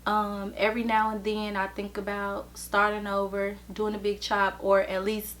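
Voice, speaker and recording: A woman in her thirties, from the American Midwest, answering viewer questions in a relaxed vlog with plenty of ums. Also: medium pitch, a little hiss or hum. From Chicago and Detroit, USA. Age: 30s. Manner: slow, easygoing, with many ums. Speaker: vlogger and hairstylist. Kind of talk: casual Q&A vlog.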